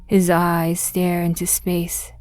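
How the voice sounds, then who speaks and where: A woman reading scripted dramatic narration in a measured, brooding, literary manner. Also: lowish voice, monotone, a little mellow, dry recording.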